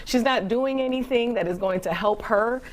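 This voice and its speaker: A woman's voice: a little high, moderate pace. Firm woman debate panelist.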